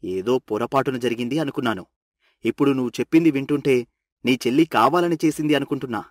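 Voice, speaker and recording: Man playing a protective young husband, medium pitch. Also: fast talker, close to an everyday voice. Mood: indignant, explaining. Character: concerned, protective young husband, easily indignant for his wife.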